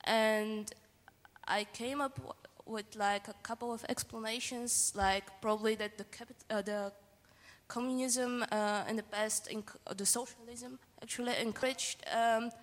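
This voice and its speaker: Tentative woman in a reverberant hall. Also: mid-range voice. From Bulgaria. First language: Bulgarian. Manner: tentative, casual, hesitant. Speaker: audience member commenting. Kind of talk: informal spoken remark at a discussion. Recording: echoey hall with long reverb.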